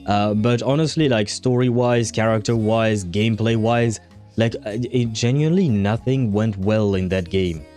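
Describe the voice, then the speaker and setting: A man with a fairly low, very mellow voice hosts a casual livestream. He thinks aloud in a halting, meandering way, circling back over his words. Faint music plays softly behind him. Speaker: livestream host.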